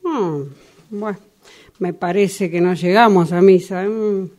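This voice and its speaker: A woman's voice: medium pitch, steady tone, slow pace, a little mellow, close to an everyday voice. A woman in an amateur radio drama playing a fussy, solicitous retired old sister with a Rioplatense Argentine accent, given to fretful exclamations. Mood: dismayed.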